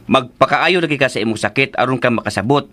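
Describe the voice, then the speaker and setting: A man's voice: medium pitch, quick pace, fairly bright tone, natural voice. An actor in a radio drama playing a protective, teasing father with an exclamatory, scolding and coaxing manner. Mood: teasing, exasperated. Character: excitable, protective, teasing father of a simple daughter.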